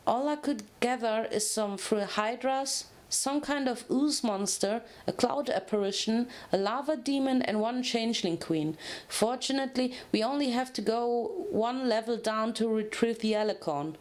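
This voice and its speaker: A woman's voice: a little high, moderate pace, darkish tone, close to an everyday voice. A woman acts out a female unicorn in a fantasy story reading. She sounds kind and bookish, earnest in her opinions and reassuring, in a medium to slightly raised voice. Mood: explaining.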